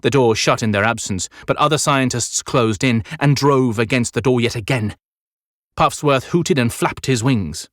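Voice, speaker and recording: A man's voice: mid-range voice, moderate pace, a bit bright, dry recording, clean studio sound. A man reading dramatic scripted narration as an audiobook narrator. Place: dry recording studio.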